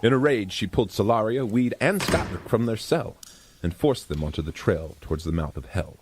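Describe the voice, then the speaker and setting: A man's voice: on the low side, expressive, fairly quick. A man giving scripted, dramatic narration for an audio story. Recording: faint background noise.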